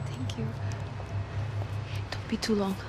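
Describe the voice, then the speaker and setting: A woman playing a gentle, grieving young woman in a video game, earnest and hesitant. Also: takes it slowly, heavy background noise, natural voice. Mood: grateful, sad. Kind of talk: video game cutscene acting. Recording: loud music behind the voice.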